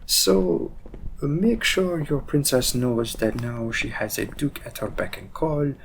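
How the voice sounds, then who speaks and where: Man playing a slow-talking, wry, cocky assassin. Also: medium pitch, lively intonation, creaky, a little hiss or hum, poor audio. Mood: playful, insistent. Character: cocky, teasing male assassin, loyal and world-weary. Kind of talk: roleplay audio drama monologue.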